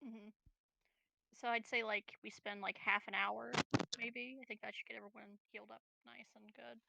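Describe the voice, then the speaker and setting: A woman's voice: on the high side, level tone, normal speed, vocal fry, sharp tone, low-quality recording. A woman playing a tabletop roleplaying game, casually talking through the group's plans.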